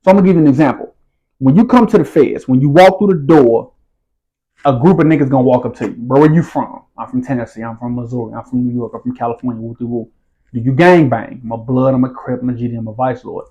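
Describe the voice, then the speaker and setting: A man's voice: medium pitch, fairly even intonation, fast, dark tone, dull audio. A man, telling his story as an interview guest in casual street slang and often asking whether the listener follows. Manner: streetwise slang, repeats "you feel what I'm saying".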